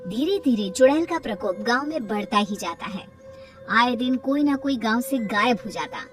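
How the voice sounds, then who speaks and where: A woman's voice: a little high, lively intonation, normal speed, distant mic, faint music behind. A woman narrating a scripted cartoon story.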